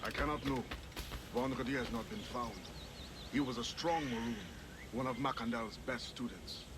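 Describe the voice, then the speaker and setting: A man voices a grave, weary assassin speaking in measured tones. Game ambience with birds and outdoor noise sits under the voice. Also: mid-range voice, lightly acted. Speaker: game character.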